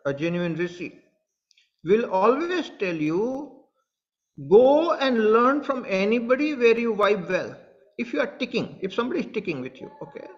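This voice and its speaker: A man's voice: sits high, muffled recording. A man with an Indian English accent, a spiritual teacher speaking earnestly to online followers, repeating his points and addressing them directly. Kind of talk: satsang talk to online followers.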